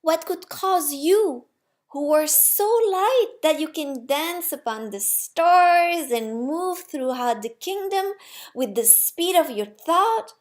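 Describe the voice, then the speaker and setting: A woman's voice: high-pitched, animated, slow, pitched above the performer's own voice. A woman voicing an eager, curious, childlike little soul in a storybook, earnest and full of wondering questions. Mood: amazed, questioning. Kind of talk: storybook character reading.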